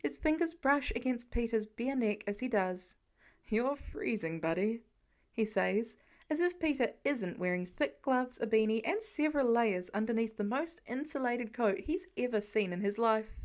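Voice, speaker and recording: A woman's voice: a little high, lively intonation, normal speed. A woman narrating a scripted story as an amateur audiobook reader.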